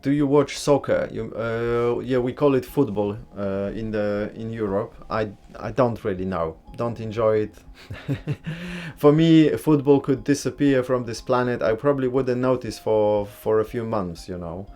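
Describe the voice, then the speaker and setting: A man chats casually with viewers on a live stream, reading their comments aloud and answering them one by one. His voice sits at an ordinary middle pitch, and he talks in a relaxed, easygoing way with frank, blunt opinions. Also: a little slow. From Poland. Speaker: livestream host.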